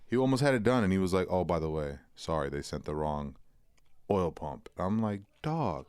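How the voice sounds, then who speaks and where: A man's voice: deep voice, animated, moderate pace, darkish tone, clean tone, dry recording. A young man co-hosting a podcast in casual, profane banter, laid-back and often trailing off. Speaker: podcast co-host.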